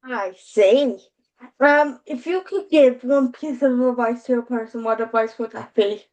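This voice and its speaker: A woman's voice: a little high, unhurried, a little mellow, dull audio. A woman hosting a show, asking a guest questions in a conversational interview style.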